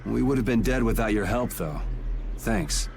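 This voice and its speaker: A man's voice: medium pitch, moderate pace, creaky, a little mellow, a little hiss or hum, natural voice. A male actor voicing a cool, sardonic young adventurer in a video game, with a dry, casual delivery. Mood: guarded. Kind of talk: English video game voice acting.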